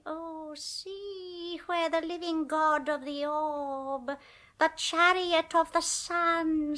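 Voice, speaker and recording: A woman's voice: very high-pitched, pushed and bright, pitched above the performer's own voice, full character voice. A woman in a radio drama voicing the possessed spirit of a grandiose male Inca emperor, chanting solemn prophecy. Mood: ecstatic. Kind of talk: 1980s radio drama acting.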